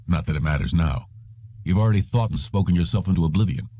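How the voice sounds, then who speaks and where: Audiobook role: calm sci-fi cop read by a man, menacing, explanatory, very mellow and dry. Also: low voice, fast, dry recording. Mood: coolly menacing. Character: calm, menacing futuristic policeman.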